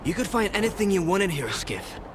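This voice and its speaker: An actor playing a carefree, philosophical drifter in a video game cutscene, musing in a familiar, wistful way. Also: male voice, high voice, sing-song, a little fast, background noise. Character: wistful, carefree male drifter devoted to the Zone.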